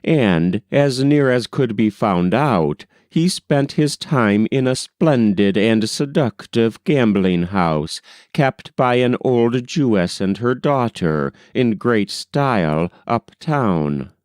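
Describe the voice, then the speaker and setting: A man reading a classic novel aloud as an audiobook narrator, in a measured, unhurried storytelling manner. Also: medium pitch, expressive, darkish tone, dry recording, studio quality.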